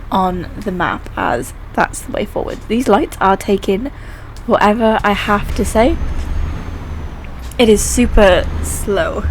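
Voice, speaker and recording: Croaky woman narrating gameplay. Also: medium pitch, slight background noise, poor audio. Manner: chatty, upbeat, thinking aloud while playing. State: croaky sore throat. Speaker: gaming channel host. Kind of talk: casual gameplay commentary.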